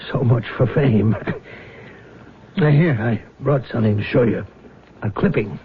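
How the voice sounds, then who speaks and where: An actor playing a weary fugitive who once fronted for a gambling mob, husky and halting, confiding in 1970s radio drama style. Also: male voice, mid-range voice, a crowd in the background. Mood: wry, confessing. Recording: muffled lo-fi vintage radio broadcast.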